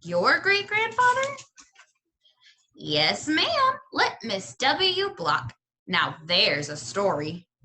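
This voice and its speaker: Lady doing a fussy genteel old librarian woman from a kids book, swoopy and high, theatrical. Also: wildly swooping pitch, very slow, pushed and bright, slight background noise, heavily acted. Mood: reminiscing. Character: elderly female librarian, genteel, excitable, fond of storytelling.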